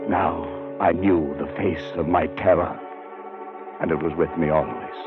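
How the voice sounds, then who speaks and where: Haunted man, deep voice, dramatic, fearful, old-time radio horror, narrow muffled recording, music behind. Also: fairly even intonation. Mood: haunted, tense. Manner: dramatic, brooding, deliberate. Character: haunted, terrified man narrating his doom, increasingly frantic.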